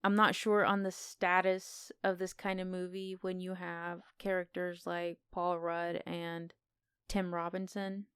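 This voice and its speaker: A woman's voice: on the low side, flat delivery, measured pace, a bit bright, smooth, dry recording. A woman co-hosting a podcast, giving film opinions in casual, unscripted conversation. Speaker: podcast co-host. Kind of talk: casual film review chat.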